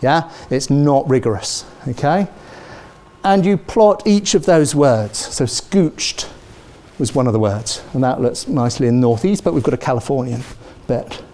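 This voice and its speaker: A middle-aged British man giving a university lecture, animated, checking in with the audience with "okay?" and "yeah?", recorded on a lapel mic in a large lecture theatre. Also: medium pitch, moderate pace. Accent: British English. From Britain.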